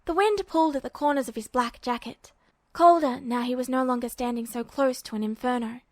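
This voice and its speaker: High-voiced woman narrating a story. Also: fairly quick, dry recording, clean studio sound. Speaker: fan fiction narrator.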